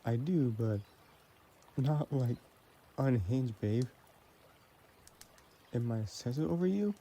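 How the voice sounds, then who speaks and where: Romantic vampire boyfriend played by a man for audio roleplay: soft-edged dark tone, leisurely delivery, possessive yet playful and tender. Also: medium pitch, expressive. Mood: teasing. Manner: slow, tender, teasing. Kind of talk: intimate audio roleplay addressed to the listener.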